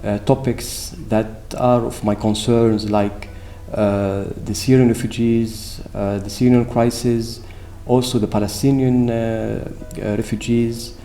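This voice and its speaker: A man from Lebanon, a humanitarian field officer, speaking haltingly in a seated interview. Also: medium pitch, flat delivery, dark tone. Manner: halting, restarts words. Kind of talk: interview testimony. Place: indoor room with curtain backdrop. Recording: roomy sound with faint music.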